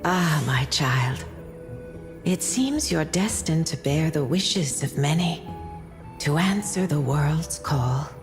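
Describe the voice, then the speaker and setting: A woman's voice: deep voice, lively intonation, slow pace. A woman playing a solemn, motherly oracle in a fantasy game, tender and portentous. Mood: tender solemnity. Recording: music behind the voice.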